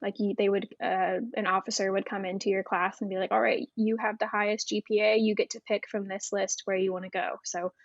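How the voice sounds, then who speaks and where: A woman's voice: mid-range voice, level tone, fairly quick, vocal fry, hardly any pauses, no echo, highs cut off. A young American woman giving a casual, conversational explanation from her own experience as an interview guest. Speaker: interview guest, former Navy air traffic controller.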